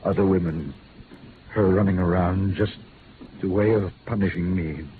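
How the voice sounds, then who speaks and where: An actor playing a wounded, guilty businessman in a 1950s radio drama, weak, halting and regretful. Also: low voice, steady tone, close to an everyday voice. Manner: halting, subdued. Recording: tinny old radio recording, faint hiss.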